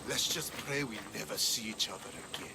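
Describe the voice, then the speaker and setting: An actor playing a bitter, angry, defiant mercenary in a video game. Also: male voice, on the low side, a little fast, gravelly, noisy background. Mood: scornful.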